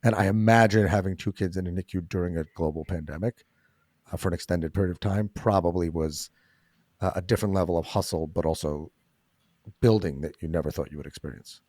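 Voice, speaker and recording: A man's voice: deep voice, brisk, a little mellow, dry recording, studio quality. A man hosting a podcast, chatting casually and making joking asides. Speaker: podcast host. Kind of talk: casual interview chat.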